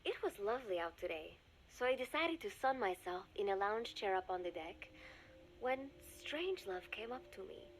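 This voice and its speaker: An actress voicing an earnest young woman reading her diary aloud in a video game, calm and reflective. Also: medium pitch, moderate pace, slight background noise, close to an everyday voice, poor audio. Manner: calm, reflective, softly spoken. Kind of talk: game audio diary narration.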